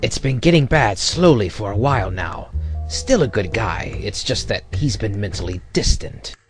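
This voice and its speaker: A man playing a level-headed, deadpan team member in an audio drama. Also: lowish voice, normal speed, faint music behind. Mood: dry, calm. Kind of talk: amateur audio drama acting.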